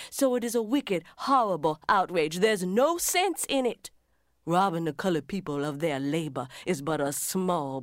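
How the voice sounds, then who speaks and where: An actress plays a dignified mother of a Civil War soldier in a dramatic letter reading. She speaks very deliberately at a slow pace, leaning on her words as she implores with firm conviction. The sound is dry, with little room around her. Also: fairly low pitch, dry recording. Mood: indignant, urgent. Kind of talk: theatrical reading of a historical letter.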